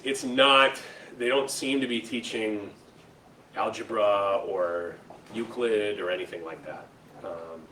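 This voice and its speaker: A man's voice: on the low side, fairly bright tone. An American man giving an informal educational presentation to an audience, talking conversationally and checking in with his listeners. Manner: conversational, checks in with "right?" and "okay?". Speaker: presenter, lecturer. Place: room at a school, speaking to an audience. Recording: roomy echo, distant mic, faint noise.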